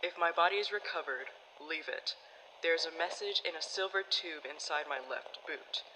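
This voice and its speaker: A woman playing a stoic, formal space guardian with terse, deadpan delivery in an audio drama monologue. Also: sits low, normal speed, big-room sound, slight background noise, low-quality recording.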